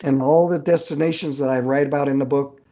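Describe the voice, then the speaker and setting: A middle-aged or older man, an author guest chatting casually, unhurried and a little hesitant, with good humor. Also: a little high, fairly even intonation, warm tone. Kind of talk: casual interview chat.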